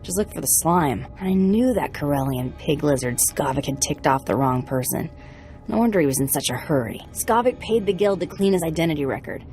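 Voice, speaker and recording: An actress playing a sardonic, defiant captive hacker in a video game, talking in a dry, sarcastic way. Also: female voice, low voice, animated, a little fast, a little mellow, faint music behind. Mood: eager bargaining. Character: sardonic enslaved female hacker, defiant and bargaining. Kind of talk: video game dialogue acting.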